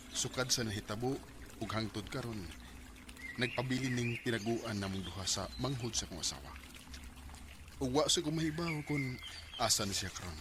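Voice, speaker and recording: A guilt-ridden husband played by a male actor narrates in a mid-pitched voice. It is dramatic radio confession, with clear background noise. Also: close to an everyday voice.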